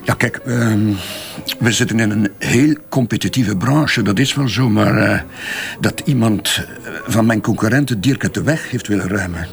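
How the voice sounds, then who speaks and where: A man's voice: on the low side, normal speed, vocal fry. A man with a Flemish accent playing a defensive advertising boss under questioning in a crime drama, hesitant and evasive, often backtracking. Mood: shaken. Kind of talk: radio crime drama dialogue.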